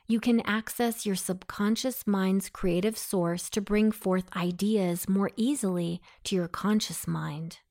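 A woman narrating a guided meditation in a soothing, deliberate, instructing manner. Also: fairly low pitch, creaky, darkish tone.

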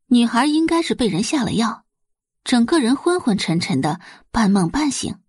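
A woman's voice: on the high side, very animated, normal speed, a little mellow, slightly rough, no room sound, clean studio sound. A woman reading scripted, dramatic audiobook narration as the narrator of a story.

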